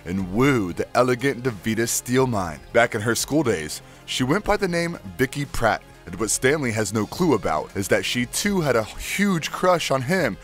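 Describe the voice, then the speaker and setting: A man's voice: medium pitch, big pitch swings, normal speed, few pauses, soft background music. A man reading scripted narration that recaps cartoon episodes.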